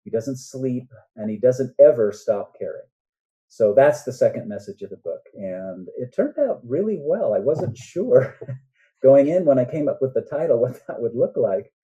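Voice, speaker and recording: An older man talks casually as an interview guest, in a very dark, soft-edged tone. He rambles a bit and checks in with his listener. The recording is dull and lo-fi. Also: mid-range voice, animated, normal speed. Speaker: guest author.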